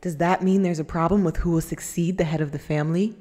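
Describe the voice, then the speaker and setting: A woman's voice: lowish voice, fairly even intonation, fast, mellow, in a large hall, lightly acted. A woman playing a serious, dutiful military lieutenant in an anime dub, formal and earnest. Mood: formal inquiry. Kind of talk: anime fan dub acting.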